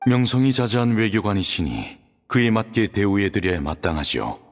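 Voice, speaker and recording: A man voicing a calm, dignified male judge in a video game, speaking in a polite, grave, formal way. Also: lowish voice, normal speed. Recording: reverberant game audio with music.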